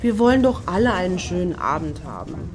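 A woman's voice: fairly low pitch, sing-song, a little fast, a crowd in the background. A woman acting in a satirical radio play as a wry, opinionated friend who comments knowingly. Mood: knowing, conciliatory.

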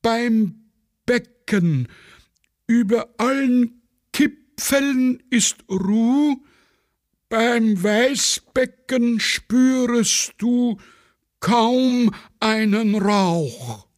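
A man plays a self-important Viennese amateur poet in a radio play. The voice sits very high and moves slowly, stretching verses out with a plaintive air. The sound is dry and close. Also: expressive, no room sound, heavily acted. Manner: slow, drawn-out verse reciting.

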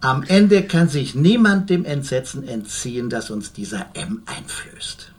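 Radio drama casting: older male advocate, medium pitch, eloquent, measured, humane conviction. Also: very animated. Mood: grave, reflective. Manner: measured, rhetorical, deliberate. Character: eminent male defence lawyer, humane, principled, eloquent. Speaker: actor in a radio crime drama. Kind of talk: German radio drama acting.

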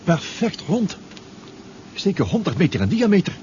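Cautious submarine inventor, muffled and lively. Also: male voice, high-pitched, moderate pace. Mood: tense, observing. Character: practical submarine inventor, cautious male expedition member. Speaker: radio actor. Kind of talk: 1970s Dutch radio drama acting. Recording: muffled, noisy 1970s radio recording.

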